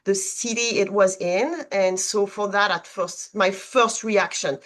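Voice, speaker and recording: A woman's voice: medium pitch, a little slow. A middle-aged woman from France with a French accent, a guest telling her story in a casual interview and often checking in with "right?". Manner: animated storyteller, often ending points with "right?". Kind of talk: conversational interview.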